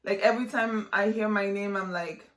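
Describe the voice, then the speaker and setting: A chatty woman in her twenties, originally from Haiti, talks to her viewers in a relaxed vlog style. Her voice sits at an ordinary middle pitch. The room around her gives the sound a noticeable echo. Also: normal speed. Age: 20s. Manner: chatty, lots of fillers and likes. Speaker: vlogger. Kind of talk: casual vlog chat to camera.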